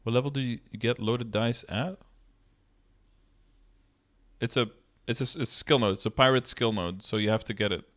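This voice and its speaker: A man's voice: mid-range voice, moderate pace, pauses often, a bit bright. Male game streamer, thirties, mid-range voice, casual tutorial delivery, explains step by step. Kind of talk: casual live-stream tutorial, answering chat questions. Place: indoor room, streaming setup.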